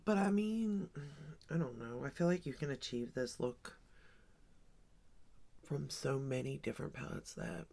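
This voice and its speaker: Woman in her 40s, chatty makeup talk, bit of vocal fry, kinda rambly. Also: extremely low pitch, a little slow, pauses often, dark tone. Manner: chatty, rambling, thinks aloud with many ums and likes. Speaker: beauty vlogger. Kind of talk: casual get-ready-with-me makeup chat.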